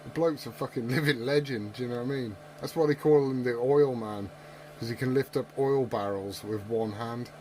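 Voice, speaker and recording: A man's voice: medium pitch, normal speed, mellow. A man chatting casually over CB radio, joking and swearing freely. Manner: storytelling with tall tales. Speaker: CB radio operator. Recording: very noisy CB radio with clicks.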